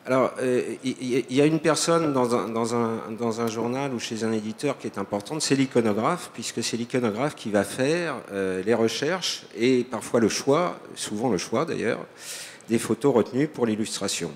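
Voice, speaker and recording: A man's voice: mid-range voice, moderate pace. Male panel host, stumbling restarts, faint noise behind. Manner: stammering restarts. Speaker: moderator. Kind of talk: moderating a panel. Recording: long hall reverb with faint noise.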